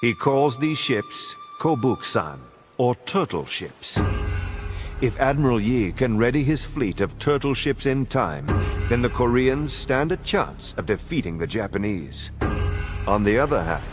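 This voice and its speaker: A man giving a dramatic scripted historical narration for a game cutscene, in a measured, formal storytelling manner. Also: mid-range voice, very animated. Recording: music behind the narration. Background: background music.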